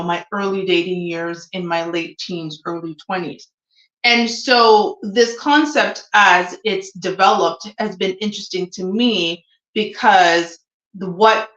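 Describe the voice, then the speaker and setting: A woman's voice: medium pitch, fairly bright tone. Woman in her 40s hosting her own talk stream, straight-talking, lots of ums, dull echoey sound. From Jamaica, raised in Toronto, Canada. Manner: frank, opinionated, with frequent ums. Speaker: show host. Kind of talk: solo opinion livestream talk.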